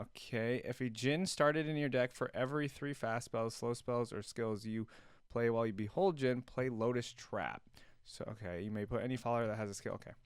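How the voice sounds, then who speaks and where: A man's voice: mid-range voice, clear, resonant tone, no room sound. A man hosting a reaction video with casual, informal commentary, thinking aloud as he goes. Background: game music.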